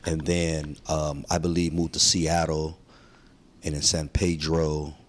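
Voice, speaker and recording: A man from San Francisco telling his life story as an interview guest, in a heartfelt, slangy way with many pauses and ums. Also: rumbling low, little pitch movement. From San Francisco, USA. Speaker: guest, community activist. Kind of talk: relaxed storytelling conversation.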